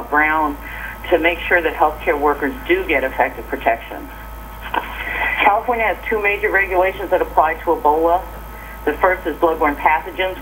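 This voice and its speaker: A woman's voice: sits low, a little hiss or hum, poor audio. An American woman giving a prepared briefing as a government safety official over a telephone conference line.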